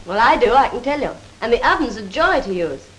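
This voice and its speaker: Casting: actress as genteel British housewife, very high voice, 1930s RP, polite and eager, stagey film dialogue with clear soundtrack noise. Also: female voice, sing-song, brisk, a bit bright, poor audio. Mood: pleased, agreeable. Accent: 1930s British Received Pronunciation. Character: eager, agreeable young housewife and mother. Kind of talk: scripted 1930s instructional film acting.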